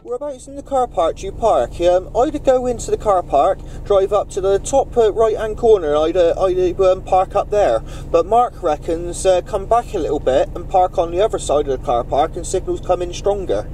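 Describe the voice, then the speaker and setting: A man running a CB radio net, chatting informally in radio jargon with halting phrasing. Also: high-pitched, animated, mellow, slight background noise.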